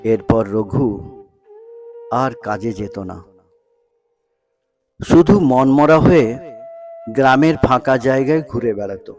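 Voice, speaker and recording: A man narrating a scripted story in a dramatic, emotive way, pausing between short phrases. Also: medium pitch, expressive, a little mellow, soft background music.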